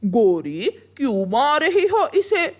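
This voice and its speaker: Woman as male crow, cartoon schemer, slow delivery, swinging intonation. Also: sits high, big pitch swings, exaggerated voice. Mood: scheming. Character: scheming male cartoon crow, hawker and fake menacing eagle. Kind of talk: children's cartoon dialogue.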